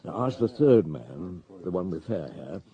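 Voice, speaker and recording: An actor playing a shrewd, middle-aged police superintendent in a radio drama, gruff and authoritative, given to musing and terse questions. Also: male voice, deep voice, big pitch swings, a little slow, lo-fi sound, exaggerated voice. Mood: brisk, commanding. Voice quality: gruff, husky. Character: shrewd, unhurried police superintendent on a murder case.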